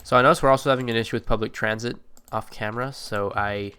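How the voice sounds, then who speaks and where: A man talks through his game in a smooth, fairly low voice that sounds a little stuffy from allergies. He rambles casually, correcting himself and filling pauses with "uh". Keyboard typing comes through faintly behind him. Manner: relaxed, rambling, many fillers and self-corrections. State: slightly congested from allergies. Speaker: gameplay video creator. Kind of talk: casual gameplay commentary.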